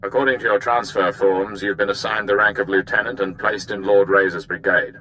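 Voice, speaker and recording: A brisk military captain in a video game. The voice is deep with a bright, hard edge, no-nonsense and matter-of-fact. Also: male voice, fairly even intonation, slight background noise, low-quality recording.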